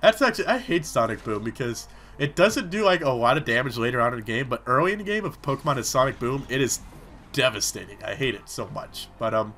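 A man's voice: mid-range voice, expressive, quick pace, a bit bright, slight background noise. A young man giving casual, rambling let's-play commentary, chatting between battles with a sore throat. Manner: rambling, chatty, with many fillers. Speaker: gaming commentator.

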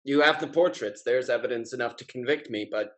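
A young man playing a frank, carefree spendthrift gentleman in a period stage comedy, theatrical and exclamatory. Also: medium pitch, brisk, close to an everyday voice. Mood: rueful, sincere. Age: young adult. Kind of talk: theatrical period comedy acting over video call. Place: indoor room at home with bookshelves. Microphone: computer mic on video call.

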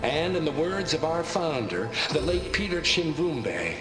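Echoey, grandiose corporate pitchman. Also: lively intonation, a little slow. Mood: grand, proud. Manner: grandiose, persuasive. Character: polished, grandiose corporate pitchman for space colony venture. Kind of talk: scripted promotional presentation, video game acting. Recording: echoey projected playback with music. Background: music.

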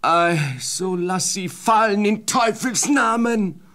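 A man playing a cautious, exasperated peasant husband in a fairy-tale radio play, husky and scolding, grudgingly giving in. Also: high-pitched, expressive, slow, sharp tone, heavily acted. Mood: exasperated, urgent.

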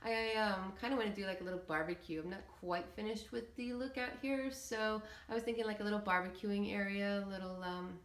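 A woman's voice: mid-range voice, fairly even intonation, fairly quick, few pauses. A woman giving a casual, hesitant, meandering walkthrough commentary of a game build. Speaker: video creator showing game settlement builds. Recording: roomy, echoey room sound.

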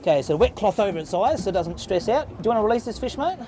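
A man's voice: high voice, big pitch swings, very fast, dark tone. A middle-aged Australian man hosting a fishing show from a small boat at sea, chatting and explaining tips to camera. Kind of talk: casual on-water fishing commentary. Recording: faint boat and sea noise.

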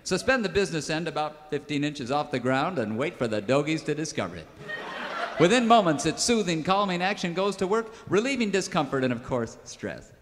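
An actor playing a hearty, folksy cowboy pitchman in a parody old-time radio commercial. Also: sing-song, fairly quick, in a large hall. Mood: folksy selling. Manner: hearty, theatrical salesman delivery.

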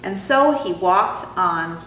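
A young woman reading a children's storybook as host, expressive, deliberate and sing-song. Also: on the low side, far from the mic. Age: young adult. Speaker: zoo educator and storytime host. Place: indoor room, reading through a face mask. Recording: roomy sound with faint background noise.

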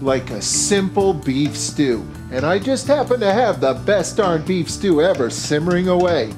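A man's voice: high voice, very animated, measured pace. A man in his forties hosting a cooking show, talking with playful enthusiasm straight to the camera. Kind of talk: scripted cooking show presenting. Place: home kitchen set and grocery store. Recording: music bed behind voice. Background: background music.

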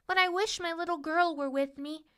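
A woman voicing a tiny, brave, plaintive paper doll princess with a thin, squeaky, pleading voice for a children's storybook. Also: steady tone, normal speed, fairly bright tone. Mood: musing, wistful. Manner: pleading, exclaiming.

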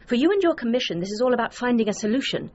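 A woman's voice: on the high side, very animated, racing, darkish tone. A proud, grieving English lady, dull recording. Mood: indignant. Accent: upper-class English. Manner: imperious, formal, emphatic. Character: proud, grieving aristocratic mother, indignant and cutting. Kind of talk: radio drama acting. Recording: dull, noisy radio drama recording.

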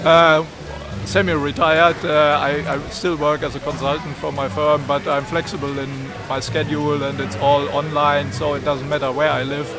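A man's voice: fairly high pitch, level tone, moderate pace, hardly any pauses. An older man from Germany with a German accent, a park volunteer speaking hesitantly with many filler sounds in an outdoor street interview. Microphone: camera mic held by interviewer.

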